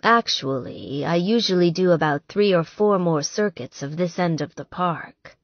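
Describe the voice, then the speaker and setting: A woman voicing an affectionate, reserved man who teases gently, in audiobook dialogue. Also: lowish voice, normal speed, no room sound, lo-fi sound, close to an everyday voice. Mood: calm. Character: affectionate, teasing athletic man, reserved boyfriend.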